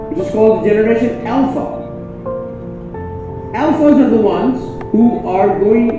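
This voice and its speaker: Booming man speaking in an echoey hall. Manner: dramatic pauses and emphatic repetition. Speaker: conference speaker. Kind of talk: keynote talk with storytelling. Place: conference hall. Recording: echoey hall from far off, dull sound, music behind.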